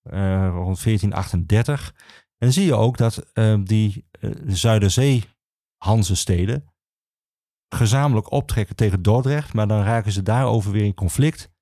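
A man the explains history informally as an expert guest, halting and repeating himself as he searches for words. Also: lowish voice, dark tone, no room sound, studio quality. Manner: hesitant, halting, with many pauses and repetitions. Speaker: archivist, podcast guest.

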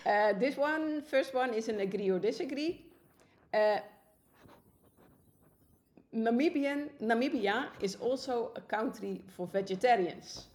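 A woman's voice: fairly high pitch, unhurried, long pauses, darkish tone. A Dutch-native woman co-hosting a podcast in a chatty, upbeat way. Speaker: podcast co-host. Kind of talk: casual podcast conversation.

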